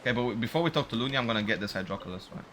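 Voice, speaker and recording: A man's voice: mid-range voice, expressive, rapid-fire, a bit bright, slight background noise. A man streaming a game, chatting casually and informally with slangy live commentary. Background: game music.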